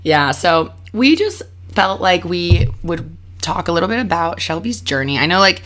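Young woman, hoarse worn-out voice, bubbly upbeat podcast host, somewhat low pitch, casual hotel-room chat. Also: sing-song, moderate pace, fairly bright tone. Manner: bubbly, upbeat, laughs often.

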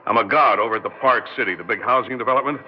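An actor playing a gruff, world-weary male security guard in a 1960s radio drama, blunt and streetwise. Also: medium pitch, very fast, slight background noise, natural voice. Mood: gruff, businesslike. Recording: muffled 1960s radio recording.

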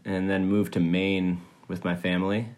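A man's voice: sits low, flat delivery, moderate pace, darkish tone. A man who grew up in Switzerland, a musician guest answering in a laid-back way with light laughs. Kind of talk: casual interview chat.

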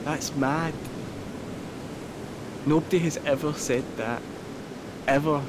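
A man acting in an audio drama as a remorseful, earnest partner, speaking in a halting, confessional way and swearing as he goes. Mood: earnest, reflective. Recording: loud rushing surf-like rumble noise.

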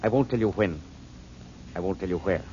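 A cold, calculating man , voiced by a male actor speaking low and measured. His formal calm makes him sinister. Old muffled radio broadcast sound with a faint hiss. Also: close to an everyday voice. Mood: coldly calm. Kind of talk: 1940s radio acting.

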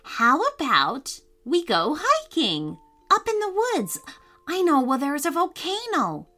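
An eager young boy on vacation, acted out by a woman storyteller. The voice is high, bright and very lively, full of boyish pleading, in storybook dialogue with faint music behind. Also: unhurried, exaggerated voice. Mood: eager, persuasive. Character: two excited, eager young brothers on vacation.

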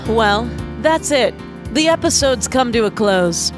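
A woman's voice: on the high side, expressive, measured pace, over music. A young woman co-hosting a comedy podcast, giving goofy, earnest closing thoughts with frequent giggles. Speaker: podcast co-host.

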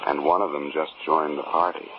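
An actor playing a dry, cool-headed insurance investigator in a 1950s radio detective drama, with an American accent and wry manner. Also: male voice, very deep voice, fairly quick, noisy background. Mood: cool, tense. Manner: wry, deadpan. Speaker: lead actor and narrator. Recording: lo-fi 1950s radio recording, organ-era music.

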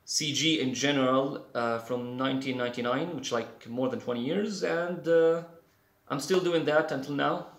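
A man, a guest in a casual interview, talking haltingly with many fillers and restarts. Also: mid-range voice, roomy sound. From Syria. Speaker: guest, CG artist.

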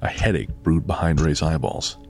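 A man's voice: very deep voice, moderate pace. A man reading scripted narration for a horror audio drama. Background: faint music.

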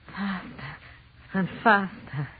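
An actress playing a professor's frightened, clinging wife, speaking in horrified fragments in 1930s radio horror style. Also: female voice, mid-range voice, slow pace, phone-quality sound, lightly acted. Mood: afraid. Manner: breathless horror, short fragmented exclamations. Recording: scratchy band-limited 1930s radio recording.

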